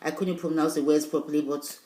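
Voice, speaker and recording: A woman's voice: deep voice, fairly even intonation, brisk, mellow, roomy sound. A woman vlogger, English not her first language, talking to her viewers casually with emphatic, insistent points and loose rambling asides. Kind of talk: casual video talk to viewers.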